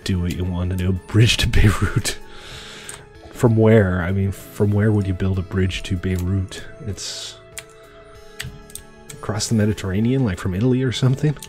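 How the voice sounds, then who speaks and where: Low-voiced, slightly stuffy male streamer. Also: steady tone, normal speed, a little mellow, faint music behind. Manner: easygoing, thinking aloud with fillers. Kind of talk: casual gameplay chat.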